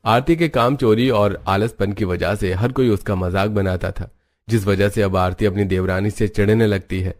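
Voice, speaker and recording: A man's voice: fairly low pitch, fairly even intonation, moderate pace, a little mellow. A man narrating a scripted cartoon moral story.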